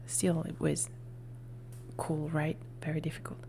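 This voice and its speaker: A woman, a native Italian speaker, chatting in a soft whisper on an ASMR live stream, rambling and correcting herself as she goes. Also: low-pitched, monotone, measured pace, gravelly. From Italy.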